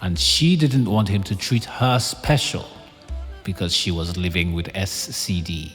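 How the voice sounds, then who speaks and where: An actor narrating an audio drama as a dramatic storyteller. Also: male voice, deep voice, a little slow, fairly bright tone. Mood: suspenseful. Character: omniscient dramatic male storyteller. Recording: long reverb, faint music bed.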